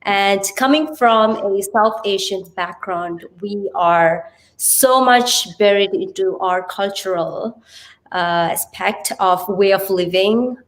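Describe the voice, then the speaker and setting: Earnest Sri Lankan woman, mid-pitched voice. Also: takes it slowly, rough recording. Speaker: podcast guest, TV presenter and radio host. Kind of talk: conversational interview self-introduction and explanation.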